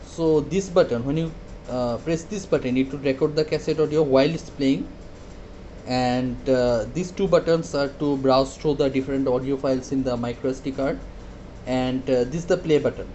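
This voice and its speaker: A man demonstrating a product casually, hesitant and halting, often repeating his words. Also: medium pitch, dark tone, lo-fi sound, a little hiss or hum. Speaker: product reviewer.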